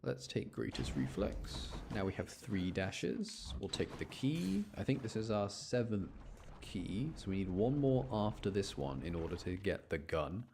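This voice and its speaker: A man's voice: deep voice, very animated, unhurried, creaky, noisy background. A man giving casual, chatty live commentary as he streams a video game, talking to his viewers. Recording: music behind the voice.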